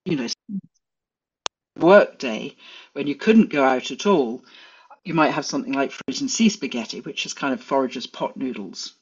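English woman guest, musing and unhurried, muffled lo-fi recording. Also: rumbling low, breathy edge. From England. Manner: thoughtful, many fillers and restarts. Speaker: guest forager. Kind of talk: casual interview chat.

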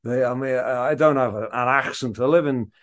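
A man in his fifties from around Liverpool, talking animatedly and laughing at himself as he tells stories. His voice sits at a middling pitch, with a mild English accent. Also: a little fast. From England, Liverpool area. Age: 50s. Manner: animated, self-mocking, laughs often. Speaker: guest, theatre maker. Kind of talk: casual interview talk.

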